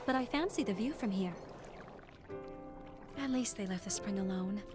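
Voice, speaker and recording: A young healer played by an actress in a game cutscene, with music playing behind the voice. The woman is earnest and caring, articulate and gentle, with an old grudge underneath. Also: medium pitch, normal speed, lightly acted. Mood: relieved, gentle. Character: caring young female healer companion with a bitter family grudge. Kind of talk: video game cutscene voice acting.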